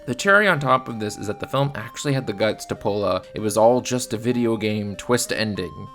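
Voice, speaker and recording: A man's voice: on the low side, lively intonation, fairly quick, loud music behind the voice. A man giving scripted film review commentary in a blunt, sardonic manner. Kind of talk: scripted video essay commentary.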